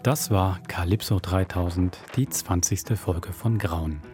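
A man's voice: sits low, unhurried, faint music behind. A man hosting a podcast in a casual, conversational style. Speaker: podcast host.

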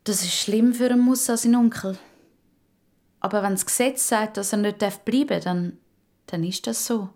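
A woman voicing a sensible, matter-of-fact mother in a children's audio story, measured and reasoning, with a Swiss German accent. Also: mid-range voice, mellow, pitched above the performer's own voice, natural voice. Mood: sensible, firm.

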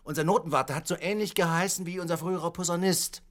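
A man's voice: sits high, fairly even intonation, quick pace, lightly acted. A man playing a tipsy, forgetful bandsman in a comic radio play, rambling, repeating himself and contradicting stubbornly. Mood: musing.

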